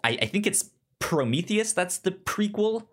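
A man co-hosting a podcast in a casual film discussion, halting and often repeating words and syllables as he forms his thoughts. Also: sits high, very animated. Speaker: podcast co-host.

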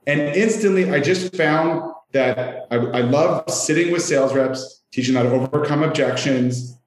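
A Canadian-accented man giving conversational answers as a sales executive guest on a podcast. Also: on the high side. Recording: roomy, echoey sound.

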